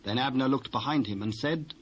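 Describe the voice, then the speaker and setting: A British man narrating dramatised scripture in a measured, formal storytelling manner. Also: mid-range voice. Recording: dull, hissy old cassette transfer.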